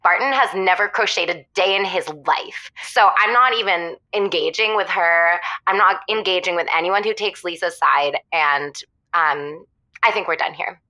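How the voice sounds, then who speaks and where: A woman's voice: lowish voice, moderate pace, pushed and bright, rough recording. A woman playing a flighty, lonely young woman calling a radio show, rambling with lots of filler words in a comedy drama. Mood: petty, defensive. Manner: rambling, filler-heavy, upspeaking chatter. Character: lonely, flighty young woman caller going stir crazy after breakup. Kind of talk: scripted comedy radio call-in acting.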